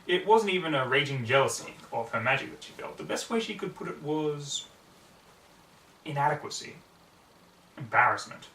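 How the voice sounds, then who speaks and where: A man's voice: a little high, animated, normal speed, long pauses, bright, forceful tone. A young man reading a story aloud as a dramatic, earnest narration, recorded in a small home room on a distant camera mic. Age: young adult. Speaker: story reader. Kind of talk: dramatic fan fiction narration. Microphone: distant camera microphone. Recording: roomy, distant mic, background noise.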